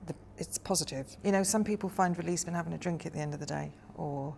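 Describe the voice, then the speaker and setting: Woman, forties, from Wales, deep voice, gravelly, thoughtful and hesitant interview talk. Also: fast, a little mellow, slight background noise. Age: 40s. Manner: thoughtful, hesitant with frequent ums. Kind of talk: reflective personal interview.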